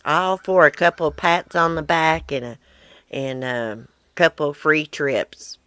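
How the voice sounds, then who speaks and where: A woman's voice: sits low, steady tone, a little slow, a bit bright, smooth, no room sound. A woman hosting a casual live chat from her porch, reading viewer comments in a blunt, rambling way, sounding wheezy. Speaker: livestream host. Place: outdoor porch.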